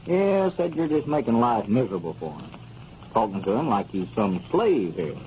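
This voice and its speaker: Plain-spoken small-town American, lowish voice, very animated intonation, earnest, muffled old radio recording. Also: male voice, moderate pace, a little hiss or hum. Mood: reproving, patient. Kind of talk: 1930s radio comedy serial acting.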